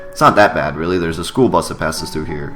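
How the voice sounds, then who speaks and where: A man voicing a gentle, resigned widowed mother who works as a caretaker in a video game. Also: low-pitched, quick pace. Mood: resigned, reflective. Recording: music behind the voice.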